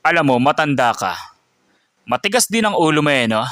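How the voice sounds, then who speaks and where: A man's voice: on the high side, big pitch swings, brisk, fairly bright tone, no room sound, clean studio sound. A man voicing an arrogant, bullying village watchman who taunts an old man. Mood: sneering. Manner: sneering, taunting.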